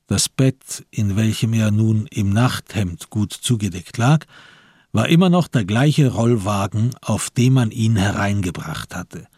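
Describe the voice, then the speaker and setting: A man with a gravelly, husky voice giving literary narration in a radio crime drama. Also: on the low side, a little mellow, no room sound, studio quality.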